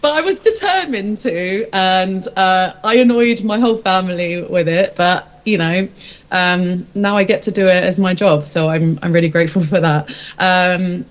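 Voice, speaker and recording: A woman's voice: on the low side, normal speed, muffled recording. A woman speaking as a singer being interviewed, informal and reflective, feeling her way through candid answers. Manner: informal, hesitant, self-reflective.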